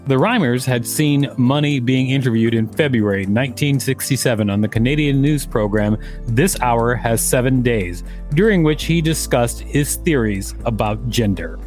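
A man's voice: mid-range voice, normal speed, a little mellow, faint music behind. A man podcast co-host reading an encyclopedia article aloud and adding commentary.

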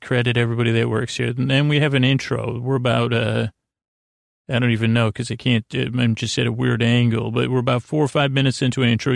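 A man with a creaky voice hosts a bedtime podcast, rambling in a halting, self-interrupting, goofy and self-deprecating way. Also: medium pitch, dry recording, clean studio sound. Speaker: podcast host.

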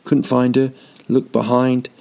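A man's voice: mid-range voice, monotone, a little slow, gravelly, dark tone. A man in his thirties, rambling through a comic vlog with dry, self-mocking asides and lots of ums. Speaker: vlogger.

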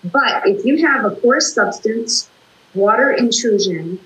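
A woman's voice: on the high side, lively intonation, a little slow, fairly bright tone. An older woman, an author and fitness instructor, talking earnestly in a casual interview over a video call from her home office. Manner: chatty, earnest, frequent restarts. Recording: echoey room.

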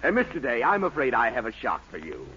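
An actor playing a wry, politely exasperated newspaper editor in a 1940s radio comedy, with theatrical delivery. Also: male voice, mid-range voice, huge pitch swings, quick pace, pushed and bright. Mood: flustered. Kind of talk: 1940s radio sitcom acting. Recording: noisy, dull 1940s radio recording, studio audience.

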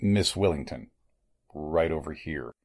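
An actor playing a sinister, courteous elderly doctor in a 1940s-style radio mystery, speaking formally and deliberately with a husky voice. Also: male voice, extremely low pitch, a little mellow. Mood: grave, courteous. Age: older. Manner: formal, deliberate, menacingly polite.

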